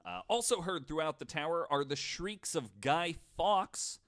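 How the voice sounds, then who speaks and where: A man's voice: a little high, expressive, a little slow, fairly bright tone. A man hosting a podcast, telling stories casually from notes with informal, sweary asides. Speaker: podcast host.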